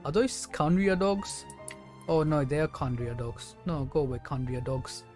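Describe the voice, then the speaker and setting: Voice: mid-range voice, lively intonation, a little slow, a little mellow, soft background music. A game streamer giving casual, chatty, playfully exasperated live commentary.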